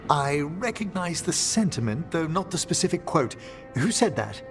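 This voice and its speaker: A scholarly mage speaks in precise, formal phrases with a very animated pitch range. He sounds erudite and absorbed, in fantasy game drama with music behind him. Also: moderate pace. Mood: curious. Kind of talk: video game voice acting.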